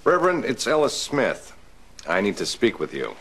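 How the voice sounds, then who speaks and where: A grave man in a 1930s radio serial. His lowish voice swings dramatically with theatrical urgency, over clear background noise. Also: huge pitch swings, normal speed. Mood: grave, urgent.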